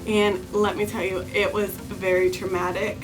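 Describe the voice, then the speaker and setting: A woman's voice: mid-range voice, flat delivery, moderate pace, low-quality recording. A young woman from California's Bay Area, a beauty vlogger, chatting through a story-time video and often repeating herself. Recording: music playing underneath.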